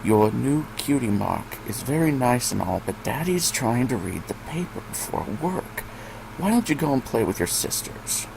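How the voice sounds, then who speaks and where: A man voicing a stern, weary pony father with a curt, dismissive deadpan in a story reading. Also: mid-range voice, normal speed, creaky, background noise.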